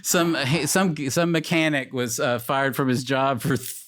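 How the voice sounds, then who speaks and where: A man in his forties co-hosting a casual podcast chat, animated and swearing, with many restarts. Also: mid-range voice, measured pace, no room sound, studio quality. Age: 40s. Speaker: podcast co-host.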